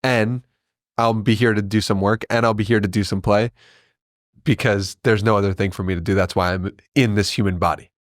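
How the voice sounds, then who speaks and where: Thoughtful low-voiced man, dry sound. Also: steady tone, a little fast, no echo. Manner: thoughtful, affirming, laughs easily. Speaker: podcast guest. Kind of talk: casual spiritual conversation.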